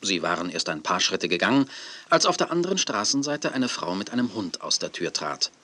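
Deep, bright-edged male story narrator. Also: sing-song, fairly quick. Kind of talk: scripted children's story narration.

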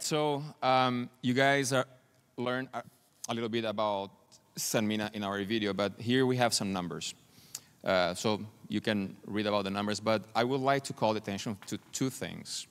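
A man's voice: fairly low pitch, normal speed, long pauses, fairly bright tone, clear, resonant tone. A man in his forties from Brazil, a native Portuguese speaker with a Brazilian accent, giving a conference presentation. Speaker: client representative presenting at a conference. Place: large conference hall stage, indoors. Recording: reverberant hall, stage PA.

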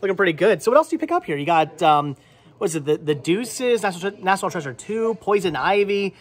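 High-pitched man vlogging, stammering. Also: lively intonation, quick pace. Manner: stammering, repeats words and phrases. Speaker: vlog host. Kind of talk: casual chat to camera. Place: small cluttered movie and game store. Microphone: handheld camera at arm's length.

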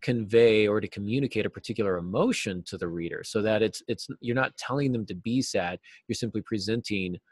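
A man in his forties giving earnest, explanatory answers as an interview guest, stressing key words deliberately. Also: fairly low pitch, breathy edge, no echo. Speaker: writing teacher and novelist, interview guest. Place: small cluttered office, video call.

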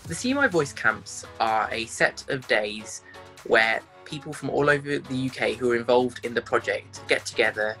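A man in his twenties from England, speaking carefully with pauses into a phone held close. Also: mid-range voice, expressive, sharp tone, soft background music, poor audio. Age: 20s. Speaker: interviewee. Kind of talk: self-recorded talk.

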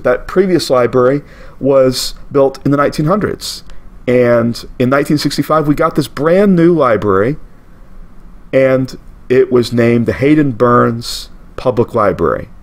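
A man in his forties hosting a local history show, talking casually over old photos and often checking in with "okay?". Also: mid-range voice. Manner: conversational, lively, asks okay? often. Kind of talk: narrated slideshow history talk.

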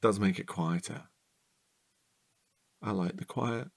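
An actor playing a chatty, excitable, needy sidekick in a comic audio drama, rambling eagerly and theatrically. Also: male voice, low-pitched, takes it slowly, gravelly, heavily acted. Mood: musing.

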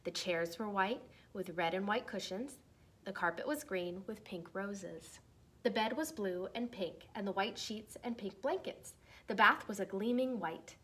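Young female storyteller, picture-book read-aloud for children, expressive and deliberate phrasing, mid-range voice. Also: medium pitch, breathy edge. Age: young adult. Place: small decorated room indoors.

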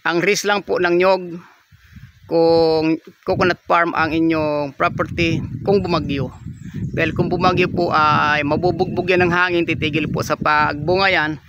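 A man's voice: on the high side, fairly bright tone, muffled recording, slight background noise. A man, narrating a walking tour of a farm lot for sale, politely pointing things out as he goes. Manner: polite, repeats "yan po" and "oh" while pointing things out. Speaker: real estate agent giving a property tour. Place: outdoors on a coconut farm.

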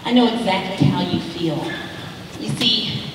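A woman's voice: lowish voice, measured pace, natural voice. An actress on stage playing an earnest, encouraging female counselor who speaks in an emphatic, preachy, reassuring way. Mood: earnest, testifying. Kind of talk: stage play acting. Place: indoor theater stage living-room set. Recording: echoey theater stage, distant, noisy.

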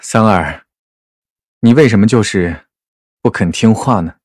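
An actor playing a suave, tender young nobleman with a possessive streak in a costume audio drama, in a soft, sighing murmur. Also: fairly low pitch, measured pace, slightly rough, no echo, studio quality. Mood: wistful, tender.

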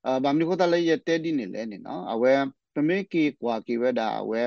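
A man's voice: mid-range voice, animated, quick pace, gravelly, no room sound. A man speaking off the cuff in spontaneous commentary on a radio programme. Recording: slightly muffled shortwave radio programme audio.

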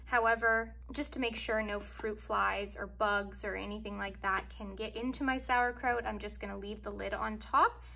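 A woman's voice: medium pitch, fairly even intonation, normal speed, creaky, hardly any pauses, bright, forceful tone, slight background noise. A young woman casually narrating her home cooking in a chatty, self-deprecating, upbeat way. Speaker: cooking vlogger. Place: home kitchen.

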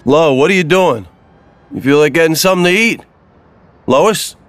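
Pushy, wounded boyfriend played by a man in audio drama: high, swooping, insistent. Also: animated, normal speed, no room sound, close to an everyday voice. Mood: restless. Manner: insistent, needling questions.

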